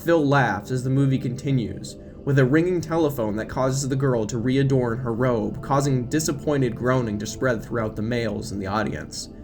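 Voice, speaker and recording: A man narrates a horror audio drama from a script. His delivery is dramatic storytelling, with music clearly playing underneath. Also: mid-range voice, moderate pace, hardly any pauses.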